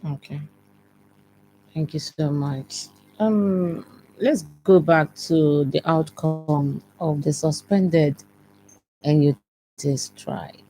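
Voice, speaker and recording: This is an interviewer at a middle pitch who fires off short, probing questions and keeps saying "okay" between answers. A faint hum sits under the voice. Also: fairly even intonation, drawn-out words, long pauses, dark tone, poor audio. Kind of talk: radio-TV interview.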